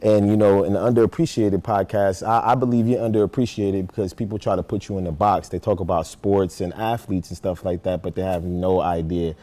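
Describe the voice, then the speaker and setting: A man's voice: deep voice, fairly even intonation, brisk, hardly any pauses, dark tone. A man hosting a podcast interview, enthusiastic and hyping his guest to the listeners. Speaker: podcast host.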